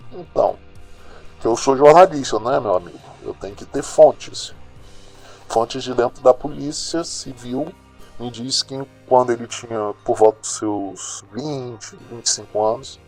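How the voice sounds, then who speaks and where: Casting: male actor as a streetwise Brazilian radio crime journalist, dark mellow mid-range voice, colloquial and opinionated, music underneath. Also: mid-range voice, expressive, a little slow. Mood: gossipy, confiding. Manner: colloquial, opinionated, dramatic, addressing listeners directly. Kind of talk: radio news commentary in an audio drama.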